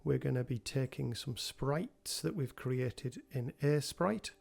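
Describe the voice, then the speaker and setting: Gravelly man with a head cold. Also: medium pitch, measured pace. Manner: relaxed, thinking aloud with many ums. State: has a cold. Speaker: game developer tutorial host. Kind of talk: screen-recorded software tutorial.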